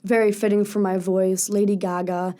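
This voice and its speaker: A young woman with a low, raspy voice, a singer being interviewed on a radio show and speaking earnestly and candidly at length. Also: flat delivery, normal speed, dark tone. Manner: earnest, candid, talks in long thoughtful runs. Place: radio studio.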